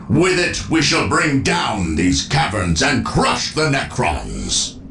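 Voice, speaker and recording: A man playing a fanatical dark warlord in a video game, grim and imperious, declaiming with a gravelly voice. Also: medium pitch, heavily acted. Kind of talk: video game cutscene acting. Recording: echoing voice over faint music.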